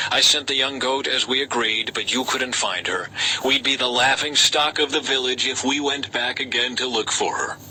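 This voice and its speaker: Very bright-voiced man reading scripture. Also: mid-range voice, rough recording. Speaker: audiobook-style scripture reader. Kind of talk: scripted narration of a Bible story. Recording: faint background noise.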